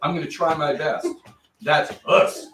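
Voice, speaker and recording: A man teaching a kids karate class, firmly prompting the children to answer loudly. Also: on the high side, a little slow, a crowd in the background. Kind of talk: instructing children. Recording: echoey hall, far from mic.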